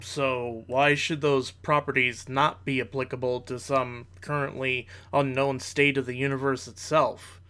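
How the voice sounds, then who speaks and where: Measured man giving analytical commentary. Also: medium pitch, little pitch movement, bright, forceful tone, clean tone. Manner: measured, analytical, formal wording. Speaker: reaction video commentator. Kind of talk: reaction commentary, argumentative.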